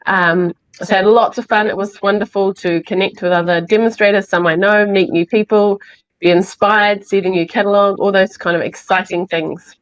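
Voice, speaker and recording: A woman with a New Zealand accent, sounding croaky from illness, chatting through a live crafting tutorial step by step. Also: mid-range voice, steady tone, normal speed, no room sound, lo-fi sound. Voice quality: slightly hoarse. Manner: chatty, explains step by step with fillers. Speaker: craft demonstrator.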